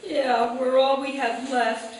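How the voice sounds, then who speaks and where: Excitable scheming radio housewife, high voice, slow, big echoey noisy hall. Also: female voice, distant mic. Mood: conspiratorial. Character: scheming, jealous, excitable 1940s sitcom housewife. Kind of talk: live re-staged old-time radio comedy acting. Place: live stage event before an audience.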